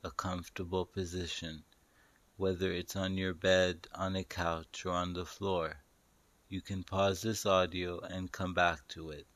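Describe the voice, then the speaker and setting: A man narrating a guided meditation as a slow story, speaking deliberately in short, paused phrases and instructing the listener. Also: low voice, level tone, a bit bright, no echo.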